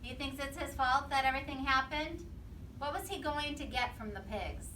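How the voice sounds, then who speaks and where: Bright, high-voiced woman teacher in roomy space. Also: normal speed. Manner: quizzes children with frequent questions, repeats their answers. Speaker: teacher reading aloud to young children. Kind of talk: interactive storybook read-aloud. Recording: roomy, distant mic with faint noise.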